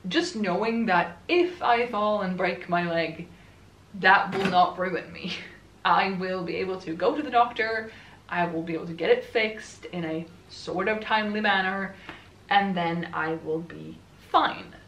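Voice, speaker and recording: A woman's voice: medium pitch, measured pace, fairly bright tone, distant mic, slight background noise. A chatty Swedish woman in her twenties rambles along in English with a Swedish accent, laughing between thoughts. Her voice comes from a little away from the camera, and the room around her echoes. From Sweden. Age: 20s. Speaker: book vlogger. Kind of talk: casual chat while assembling furniture. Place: room at home.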